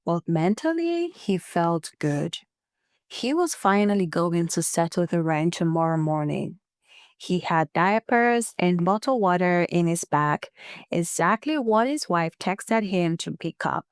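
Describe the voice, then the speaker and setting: A woman's voice: fairly low pitch, lively intonation, unhurried, smooth, dry recording, studio quality. A woman storyteller narrating a scripted tale dramatically, in punchy, suspenseful phrases with wry, casual asides.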